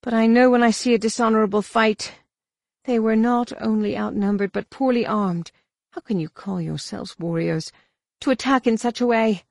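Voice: mid-range voice, moderate pace, gravelly, no room sound, studio quality, voice pushed up, natural voice. A narrator voicing a young woman Jedi warrior in an audiobook, bold and indignant.

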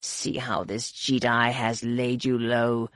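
Narrator acting a rasping, defiant alien outcast. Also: mid-range voice, level tone, takes it slowly, a bit bright, no room sound, voice pushed down. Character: defiant, devout alien outcast tracker, battle-worn male.

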